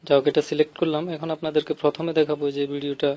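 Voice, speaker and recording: A man with a Bangladeshi accent giving an informal app tutorial, explaining step by step in a halting, repetitive way. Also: fairly high pitch, little pitch movement, warm tone, a little hiss or hum. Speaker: tutorial host.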